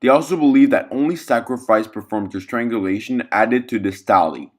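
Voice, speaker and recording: A man reading a scripted, clinical containment report in a formal, measured way as narrator of a fiction audio log. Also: mid-range voice.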